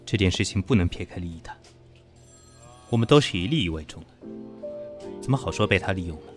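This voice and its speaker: Guy acting proud cold businessman, low voice, restrained radio drama style, music under it. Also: lively intonation, fast talker. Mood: composed, firm. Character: guarded, proud businessman facing a former lover. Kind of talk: Chinese audio drama acting.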